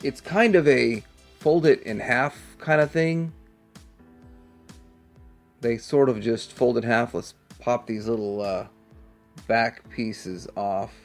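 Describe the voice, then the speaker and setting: A man's voice: medium pitch, takes it slowly, pauses often, faint music behind. A man hosting a casual hands-on toy review, rambling and thinking aloud as he goes. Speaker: toy review channel host.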